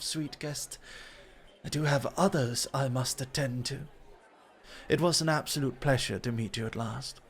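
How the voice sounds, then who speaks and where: A man playing a flirty, teasing club host and rival, theatrically polite and suggestive, in an ASMR roleplay. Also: on the high side. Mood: flirty challenge.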